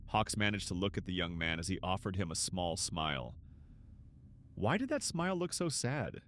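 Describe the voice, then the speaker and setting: Brief: male narrator for dramatic fiction, low voice with lively rise and fall, expressive scripted read. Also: moderate pace, slight background noise. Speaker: narrator and voice actor.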